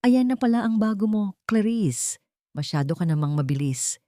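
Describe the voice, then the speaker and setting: A woman voicing a belligerent, bitter drunken husband with a Filipino accent in an audio drama, loud and taunting. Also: mid-range voice, animated, normal speed, no room sound, natural voice. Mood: mocking.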